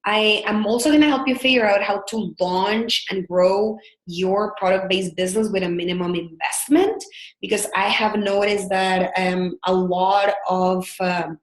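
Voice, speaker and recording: A woman's voice: mid-range voice, steady tone, unhurried, roomy sound, rough recording. A woman from El Salvador with a Salvadoran Spanish accent, a business coach giving an earnest, upbeat live webinar with frequent filler words.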